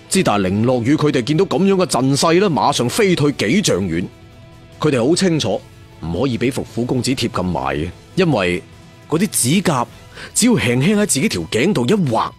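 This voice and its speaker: A man telling a martial arts story in an animated, conversational, dramatic way. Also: medium pitch. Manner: animated, conversational, with spoken sound effects.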